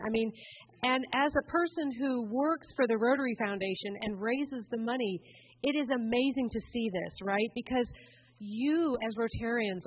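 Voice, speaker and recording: A woman with a somewhat high voice speaks into a handheld mic at a conference and draws the crowd in with a steady "right?". The sound is slightly dull and lo-fi. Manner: chatty, upbeat, coaxing the crowd with "right?". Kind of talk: interactive talk to a seated audience. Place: conference hall.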